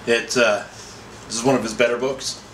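A middle-aged man in a folksy, unhurried manner, chatting casually and reading a story aloud. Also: mid-range voice, little pitch movement, fairly bright tone. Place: small indoor room. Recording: roomy echo, faint background noise.

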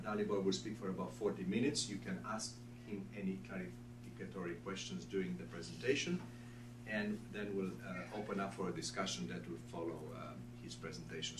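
Low-voiced man introducing a guest speaker. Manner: reads from notes with dry asides. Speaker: seminar host introducing a speaker. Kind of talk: formal introduction. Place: seminar room with a long table. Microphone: far room camera. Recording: echoey room from far off, background hum.

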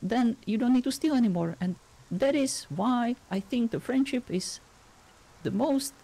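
A woman's voice: a little high, expressive, a little slow, mellow. A woman voicing an awkward, self-doubting, easily embarrassed storyteller in a fantasy game roleplay, hesitant and self-deprecating. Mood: earnest, halting.

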